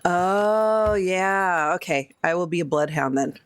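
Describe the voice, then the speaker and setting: A woman's voice: fairly low pitch, slow, clear, resonant tone, no echo. A woman playing a tabletop game, chatting casually and describing her character's moves.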